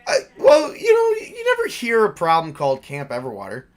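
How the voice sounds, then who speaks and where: College student in a tabletop horror story, played by a male game master: nervous, excitable, urgent, panicky. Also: high voice, a little fast, pitched above the performer's own voice. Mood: sheepish, flustered.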